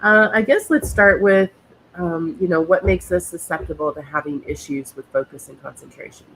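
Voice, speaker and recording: A woman hosting a talk show while she has a cold, sounding a little snuffly and speaking haltingly with many fillers and pauses. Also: fairly low pitch, fairly bright tone, a little hiss or hum, poor audio. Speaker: host. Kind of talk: casual chat.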